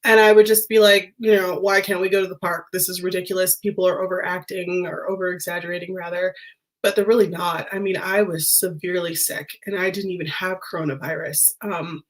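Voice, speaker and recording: A woman's voice: fairly low pitch, little pitch movement, a little fast. A woman vlogger chatting casually to her viewers, her voice nasal and congested as she recovers from an illness, rambling with fillers and small laughs. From United States. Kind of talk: casual chat to camera.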